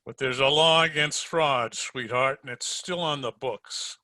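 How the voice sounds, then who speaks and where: A man's voice: medium pitch, unhurried, pushed and bright, no room sound. A man playing a dry, wisecracking private detective in an old-time radio drama, narrating his case in hardboiled style. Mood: wry. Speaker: amateur radio drama player.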